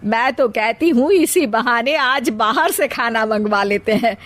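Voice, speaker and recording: Woman playing a high-voiced, sensible mother-in-law. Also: measured pace, a bit bright, no echo. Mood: affectionate. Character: kindly, sensible middle-aged mother-in-law and elder sister. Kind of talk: animated moral story acting.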